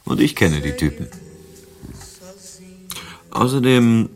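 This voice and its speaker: An actor playing an easygoing, teasing yet concerned confidant, casual in manner, in a German radio crime drama. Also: male voice, lowish voice, animated, slow, soft background music.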